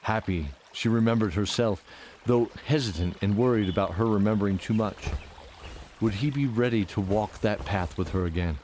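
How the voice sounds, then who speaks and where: Deep-voiced man narrating fantasy. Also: moderate pace, darkish tone, a little hiss or hum. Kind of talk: dramatic fantasy story narration.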